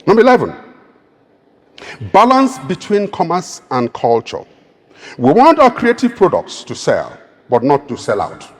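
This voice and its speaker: A man's voice: on the high side, wildly swooping pitch, unhurried, long pauses. A Nigerian man with an Igbo accent giving an emphatic, rousing public speech as a keynote speaker. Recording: long reverb of a large hall.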